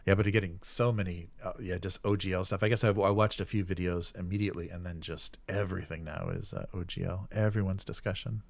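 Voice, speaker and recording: Male streamer, deep voice, dry close sound, loose hesitant rambling delivery. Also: fairly even intonation, quick pace, creaky, hardly any pauses, no room sound. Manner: hesitant, rambling, trailing off mid-sentence. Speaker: live stream host. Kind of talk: casual live stream chat.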